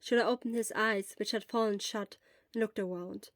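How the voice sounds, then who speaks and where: A woman reading a fan fiction story aloud as narrator, speaking softly and sometimes dropping to a whisper. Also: medium pitch, fairly even intonation, normal speed, no room sound.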